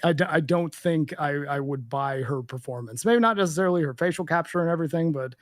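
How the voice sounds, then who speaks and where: A man's voice: a little high, fast talker, no echo. A man in casual podcast chat about video games, rambling and opinionated, with many fillers and restarts. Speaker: podcast co-host.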